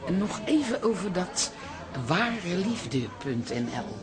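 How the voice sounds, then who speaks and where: Low-voiced, animated nosy auntie. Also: female voice, a little slow, over music. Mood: nosy, eager. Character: nosy, hesitant, chatty auntie. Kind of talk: radio play acting.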